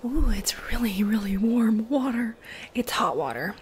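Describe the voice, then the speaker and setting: A young adult woman doing a casual ASMR role play, speaking softly and often slipping into a whisper with mouth sounds, close to a desktop mic. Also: on the high side, animated, unhurried. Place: bedroom, indoors. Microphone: close desktop condenser mic. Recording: close mic with noise, clicks.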